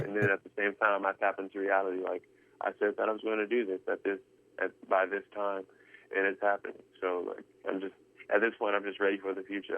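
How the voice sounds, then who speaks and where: A young man from Texas, speaking in an earnest, rambling way, full of restarts. His voice sits fairly low and comes through a tinny, narrow phone line. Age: 20s. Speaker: guest drummer. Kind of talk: casual interview chat.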